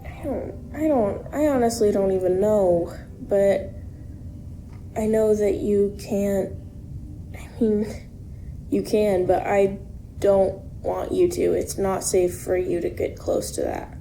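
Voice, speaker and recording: A woman's voice: mid-range voice, slow pace, mellow, a little hiss or hum, rough recording. An actress playing a troubled, guarded young woman in a naturalistic fiction podcast, speaking in a hesitant, subdued way. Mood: anxious, protective.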